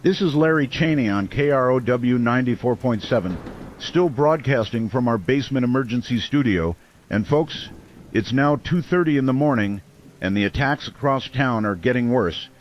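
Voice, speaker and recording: A man playing a steady small-town radio host who delivers grave, urgent emergency updates in an audio drama. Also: medium pitch, moderate pace, muffled recording, close to an everyday voice. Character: steady small-town American male late-night radio host during werewolf siege. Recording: noisy, with rain-like hiss behind.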